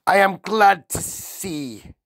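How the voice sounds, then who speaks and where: Man playing a booming, tyrannical headmistress, pitched high. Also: lively intonation, slow pace, fairly bright tone, no room sound, heavily acted. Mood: cold menace. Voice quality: booming, barking. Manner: menacing, hectoring, contemptuous. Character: enormous tyrannical headmistress, a bellowing, insulting bully woman.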